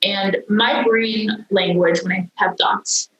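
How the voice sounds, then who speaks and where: A young woman, a software engineer and guest, explaining things in a casual interview with frequent "um" fillers; her home language is Hindi. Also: medium pitch, steady tone, slow, echoey room, low-quality recording. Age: young adult.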